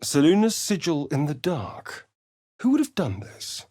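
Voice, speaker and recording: A sardonic, vain male vampire rogue for a fantasy video game. His delivery is slow and arch, swooping widely in pitch with theatrical relish. The voice is slightly breathy, recorded close and dry. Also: wildly swooping pitch, dark tone, no echo, full character voice. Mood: wry, conversational. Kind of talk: video game character voice acting.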